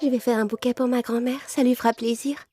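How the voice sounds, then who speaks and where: A woman's voice: fairly high pitch, steady tone, fast, over music, lightly acted. A woman voicing a sweet, trusting little girl in a children's cartoon fairy tale, speaking in an earnest, polite, childlike way.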